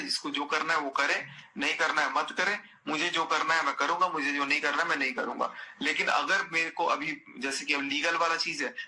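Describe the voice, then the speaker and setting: A man's voice: a little high, bright, forceful tone. A man in a personal phone conversation, speaking insistently and repeating his phrases. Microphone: phone call. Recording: recorded phone call with faint noise.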